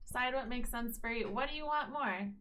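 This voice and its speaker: A woman's voice: a little high, brisk, pushed and bright, slight background noise. A woman co-hosting a casual Q&A chat beside her partner, answering frankly and laughing often. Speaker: co-host, host's partner.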